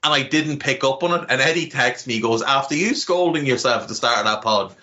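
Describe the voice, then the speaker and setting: A man co-hosting a casual podcast from home, talking in an animated way and swearing freely as his pitch jumps up. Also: brisk, dull audio. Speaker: podcast co-host.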